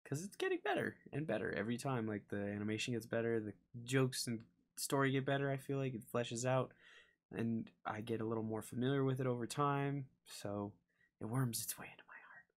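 A man's voice: medium pitch, expressive, fairly quick. A young man with a mid-range voice gives casual reaction commentary. He talks informally, as a host chatting to viewers. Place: small indoor room.

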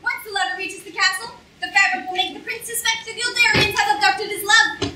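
A woman's voice: very high-pitched, fairly even intonation, a little fast, pushed and bright, full character voice. A woman playing a scornful, domineering villain ringleader with pompous, declamatory delivery in a stage comedy. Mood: scheming. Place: lecture hall stage, indoors, recorded from audience. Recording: echoey hall from far off, noisy audience room.

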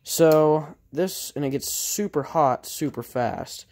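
A man talking casually off the cuff like a hobbyist repairer showing his work, halting and correcting himself as he thinks aloud. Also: a little high, darkish tone. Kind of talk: casual off-the-cuff workshop vlog. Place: indoor workshop at a cluttered bench.

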